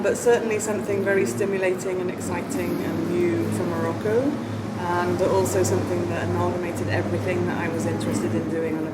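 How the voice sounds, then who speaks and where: Loud ambient noise, woman, middle-aged, from Wales, lower-pitched voice, unscripted interview, thoughtful rephrasing. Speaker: director of an arts organisation, interviewee. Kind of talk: unscripted interview, explaining own work.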